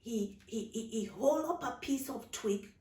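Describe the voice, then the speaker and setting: A woman voicing a sulky, earnest, naive little girl in a storybook, halting and childlike. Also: a little high, lively intonation, dark tone, voice pushed up. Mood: earnest, confused. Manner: halting, childlike, dialect grammar. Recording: roomy, distant microphone.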